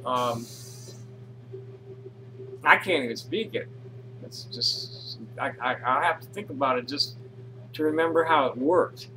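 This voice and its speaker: A man's voice: medium pitch, measured pace, pauses often, sharp tone, slight background noise, poor audio. A man casually reading posts aloud and commenting on them, rambling with frequent restarts and fillers. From North America. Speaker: reader of social media posts.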